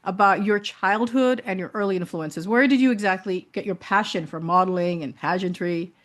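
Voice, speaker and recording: A woman's voice: mid-range voice, moderate pace. A woman hosting a talk show, setting up her guest in a chatty, unscripted way. She often trails off with fillers and restarts before she picks up her thought again. Her voice sits in a medium range. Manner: warm-hearted, chatty, with many fillers. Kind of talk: interview hosting.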